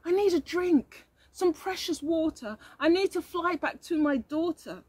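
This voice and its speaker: A very high, sing-song little bumblebee, worn out and close to tears. A woman puts her on while reading a storybook aloud. The voice climbs and swoops as it speaks. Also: lively intonation, takes it slowly, full character voice. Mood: tearful. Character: tired, weepy little female bumblebee.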